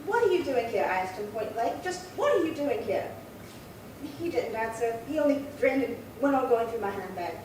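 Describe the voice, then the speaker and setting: A woman's voice: on the high side, big pitch swings, normal speed, a little mellow. A woman acting a prim, chatty, indignant old lady in her late seventies in a 1950s-style radio crime drama, fussy and rambling. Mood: aggrieved. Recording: very echoey, distant, noisy room.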